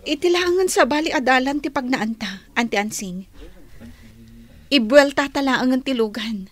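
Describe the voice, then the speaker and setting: Cautious high-voiced niece. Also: female voice, moderate pace. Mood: careful, concerned. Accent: Ilocano Filipino. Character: cautious, worried young niece driving her aunt. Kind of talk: Ilocano radio drama acting.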